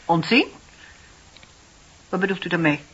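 A woman's voice: lowish voice, expressive, a little slow, husky. An actress playing a prim, proud older married woman in a radio play, stiff, reproachful and defensive. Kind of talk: Dutch radio play acting. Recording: dull old radio recording.

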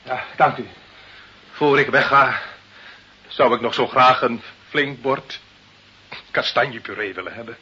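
An actor in a Dutch radio play playing a wily village crime boss, mock-humble and slyly defiant with authority. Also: male voice, medium pitch, a little slow, pauses often, pushed and bright, breathy edge, heavily acted. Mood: polite, wily. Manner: deferential on the surface, slyly defiant. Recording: muffled, hissy old radio recording.

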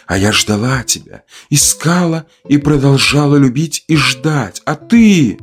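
A man voicing a bitter, menacing, jilted woman who taunts and reproaches slowly in a dramatic audio story. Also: medium pitch, sing-song, slightly rough, faint music behind, pitched above the performer's own voice.

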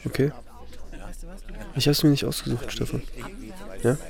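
An actor playing a hurt, insistent younger man in a naturalistic radio drama, speaking in a halting, repetitive, pleading way. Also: mid-range voice, steady tone, vocal fry, crowd noise. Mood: accusing, agitated. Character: wounded, insistent younger man confronting an older man.

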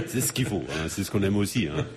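A man's voice: fairly low pitch, very animated, brisk, vocal fry, noisy background. A male radio host in a casual interview, friendly, with frequent laughs between questions.

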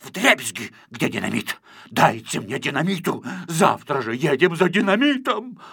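A man's voice: fairly high pitch, very animated, moderate pace, vocal fry, breathy edge, dry recording, studio quality, pitched above the performer's own voice, exaggerated voice. A man voicing a pompous, hot-tempered rich boss in an audiobook, indignant and given to spluttering rants. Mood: furious, ranting. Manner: ranting, spluttering, indignant shouting. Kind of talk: audiobook character acting.

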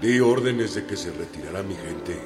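A man's voice: low voice, expressive, moderate pace. An actor playing a proud, resolute male revolutionary colonel in a historical radio drama, commanding and declamatory, with a Mexican accent. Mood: solemn, resolute. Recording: dramatized with loud music and crowd effects.

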